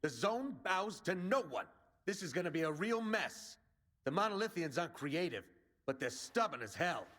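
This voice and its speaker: A man's voice: high-pitched, sing-song, normal speed, a bit bright, full character voice. An actor playing a brash male resistance commander in a video game, rallying and plain-spoken like a soldier. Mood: determined.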